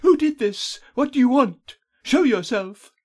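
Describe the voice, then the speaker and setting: A man's voice: high voice, lively intonation, a little slow, darkish tone, pitched above the performer's own voice. A man voicing a defiant young female dragon rider in an audiobook, speaking in an urgent, demanding manner. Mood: alarmed, demanding. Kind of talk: audiobook character voice.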